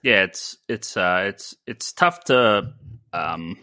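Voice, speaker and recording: A man co-hosting a casual panel discussion, talking haltingly and often stammering over repeated words. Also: lowish voice, expressive, sharp tone, no room sound. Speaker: podcast co-host.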